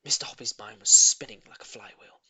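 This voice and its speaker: A man's voice: fairly quick, pushed and bright, no room sound. A man narrating a children's story expressively, with dramatic emphasis on key words. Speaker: audiobook reader.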